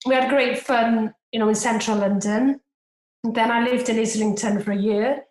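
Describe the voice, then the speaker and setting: A Welsh woman in her forties tells her own story on a remote podcast call. Her first language is Welsh. She is chatty and self-deprecating, with plenty of fillers, and her slightly higher voice sits in a roomy, echoing space. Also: steady tone, moderate pace, darkish tone. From Cardiff, Wales. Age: 40s. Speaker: podcast guest. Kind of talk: casual interview chat. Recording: roomy echo.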